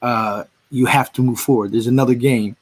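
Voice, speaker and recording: Chatty Philly sports podcast host. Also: male voice, medium pitch, fairly even intonation, moderate pace, slightly rough. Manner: rambling, frequent restarts and fillers. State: voice recovering from being lost. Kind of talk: casual sports chat.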